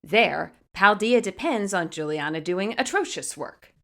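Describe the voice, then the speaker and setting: A woman reading fan fiction aloud as narrator, in an expressive scripted storytelling style. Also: medium pitch, measured pace.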